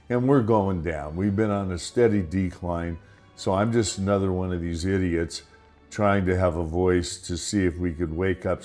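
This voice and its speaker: Older la guy with a real low voice, rambling host monologue, bit of music under it. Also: darkish tone. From Los Angeles, United States. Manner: rambling, with restarts and asides. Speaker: podcast host. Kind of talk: casual monologue.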